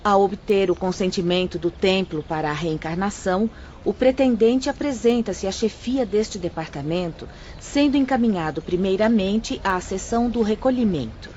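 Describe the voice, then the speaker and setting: A gentle female spirit guides newcomers through her department with calm, kindly explanations, as a woman plays her. She speaks in an audio drama at a middling pitch. The recording sounds a little dull, with a faint hiss underneath. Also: normal speed, natural voice. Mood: patient. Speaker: instructor guiding new apprentices.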